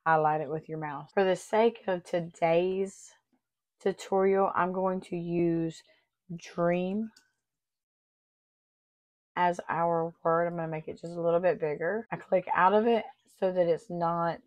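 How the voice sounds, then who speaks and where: A woman hosting a craft tutorial, her voice still a little raspy as she recovers, talking in a friendly, chatty way and explaining each step. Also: on the low side, steady tone, measured pace, a little mellow. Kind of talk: casual tutorial walkthrough.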